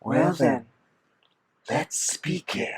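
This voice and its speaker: A man in an audio drama playing a sinister, smug mirror entity, taunting and mock-polite. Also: very slow.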